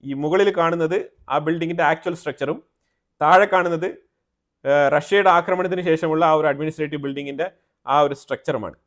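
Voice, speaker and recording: Fast-talking Malayali man, higher-pitched voice. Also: fairly bright tone. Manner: repeats and rephrases phrases, mixing in English terms. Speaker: news commentary host. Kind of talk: explanatory news commentary to viewers.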